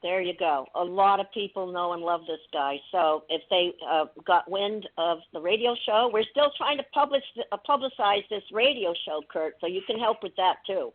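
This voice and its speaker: A woman's voice: fairly low pitch, expressive, moderate pace, hardly any pauses. A talkative woman calling in to a radio show, chatting casually and emphatically over the telephone. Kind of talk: casual chat. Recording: tinny telephone line.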